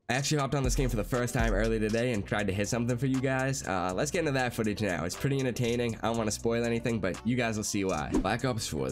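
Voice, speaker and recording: A young man with a congested, nasal voice from a cold, chatting casually as he walks through a video game, with a steady stream of fillers. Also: on the low side. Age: young adult. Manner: fast, chatty, with lots of "like" fillers. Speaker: gaming YouTuber. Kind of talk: casual gameplay commentary. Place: small bedroom.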